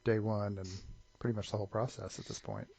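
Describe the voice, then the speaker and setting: A man's voice: on the low side, steady tone, quick pace, creaky, darkish tone, faint music behind. A man hosting a podcast, talking casually in an easygoing, joking way. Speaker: podcast host. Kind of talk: casual chat.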